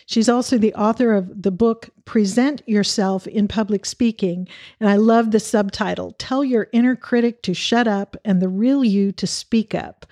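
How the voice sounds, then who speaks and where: A woman's voice: a little high, darkish tone, dry recording, studio quality. A woman hosting a podcast interview, introducing her guest and asking questions in a friendly, conversational way. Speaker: podcast host.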